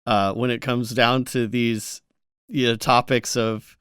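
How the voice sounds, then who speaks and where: A man co-hosting a gaming news show in casual, informal, somewhat halting talk peppered with filler words. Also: medium pitch, flat delivery, a bit bright, clear, resonant tone, dry recording, clean studio sound. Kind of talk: casual podcast chat.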